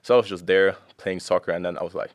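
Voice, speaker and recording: A young man with a low, deep voice speaks fast in a loose, easygoing chat. He strings thoughts together with constant fillers and restarts. The sound is close and dry. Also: no room sound. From Germany. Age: young adult. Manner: relaxed, rambling with many fillers. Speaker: guest. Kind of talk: casual chat.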